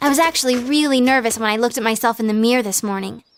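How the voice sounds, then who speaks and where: Woman acting a teenage idol girl, high voice, American accent, cutesy, coy, confident, playful anime game style. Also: fast talker, slight background noise, close to an everyday voice.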